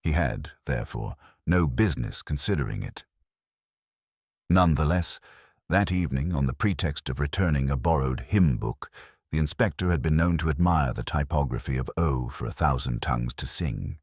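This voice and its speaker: A man narrating a mystery story from a script in a measured, precise, literary manner. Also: very deep voice, lively intonation, darkish tone, breathy edge, no echo, clean studio sound.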